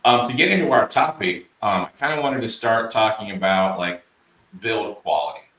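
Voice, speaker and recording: Man, podcast host, hesitant with fillers, fairly low voice, echoey room. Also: normal speed, fairly bright tone. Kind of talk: casual interview.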